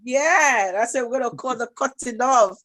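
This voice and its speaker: A woman's voice: a little high, normal speed, fairly bright tone. A Nigerian woman speaking with a Nigerian accent in a casual talk show, passionate and emphatic, often repeating herself. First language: Nigerian language.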